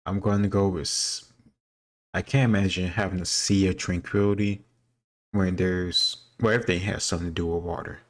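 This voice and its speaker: A man's voice: sits low, fairly even intonation, a little fast. A man giving casual, off-the-cuff commentary as a video host, thinking aloud and offering his opinions.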